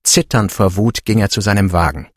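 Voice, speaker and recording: A man's voice: lowish voice, brisk, husky, no room sound, studio quality. A man narrating an audiobook in a scripted storytelling style.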